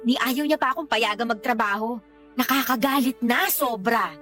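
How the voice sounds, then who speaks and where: A woman's voice: a little high, moderate pace, a bit bright, lightly acted. A Filipino woman acting in a radio drama as a fed-up young mother, complaining in an exasperated way. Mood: bitter, frustrated. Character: fed-up young mother venting about her partner. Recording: background music under the voice.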